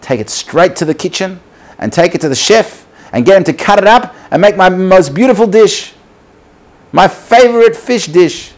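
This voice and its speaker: A man's voice: low-pitched, moderate pace, creaky, hardly any pauses, darkish tone, breathy edge, exaggerated voice. A man playing a delighted, self-indulgent king in a told parable, gushing and commanding.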